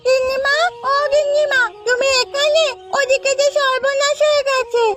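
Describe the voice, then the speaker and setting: Little village girl, very high voice, eager tattler, excitable, cartoon, soft music behind. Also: normal speed. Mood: alarmed. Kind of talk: animated folk tale cartoon acting.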